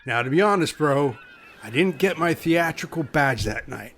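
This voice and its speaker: Man as laddish castaway, lively and higher-pitched. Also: normal speed, a little hiss or hum. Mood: amused. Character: touchy, laddish male castaway teased for his outfit. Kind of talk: comedic radio play acting.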